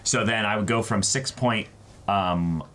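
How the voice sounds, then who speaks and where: A man's voice: lowish voice, measured pace, a little hiss or hum. A man co-hosting a show, chatting casually and playfully, often repeating himself emphatically as he makes his point. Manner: animated, repeats phrases and restarts emphatically. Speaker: co-host of an unboxing show.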